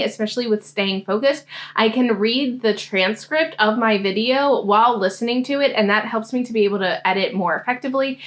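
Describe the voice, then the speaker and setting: A woman coaching grad students, giving a friendly software tutorial walkthrough. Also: a little high. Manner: friendly, explanatory screen-demo talk. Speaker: science grad school coach.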